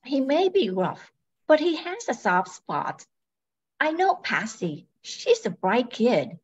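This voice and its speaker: High, animated, scolding sister, played by a woman. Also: takes it slowly, slight background noise. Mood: exasperated. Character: sensible, scolding young farm-raised twin sister. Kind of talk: amateur old-time radio comedy-mystery acting. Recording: dull, muffled sound.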